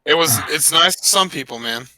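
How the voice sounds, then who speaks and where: Sharp-voiced man bantering over a tabletop game. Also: fairly high pitch, animated, brisk, poor audio. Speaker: tabletop roleplaying player.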